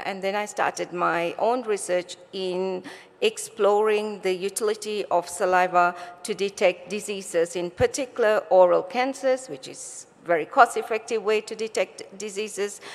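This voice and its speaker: A middle-aged woman from Botswana, born in Sri Lanka, giving an explanatory conference talk as a research scientist. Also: medium pitch. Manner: explanatory, asks rhetorical questions. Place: conference stage in a large hall. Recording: reverberant large hall.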